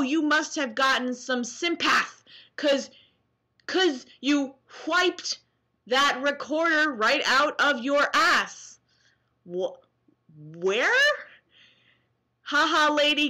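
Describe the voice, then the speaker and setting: A young American woman reacting casually to comments she reads aloud, sarcastic and animated, at home on a webcam mic. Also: high voice. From New Jersey, US. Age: young adult. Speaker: vlogger. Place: small room at home, indoors.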